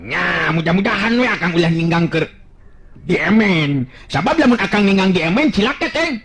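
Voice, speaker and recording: Man playing a lively, confident young fighter. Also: high voice, moderate pace, a crowd in the background, pitched above the performer's own voice. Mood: wry. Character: confident, joking young male folk fighter hero.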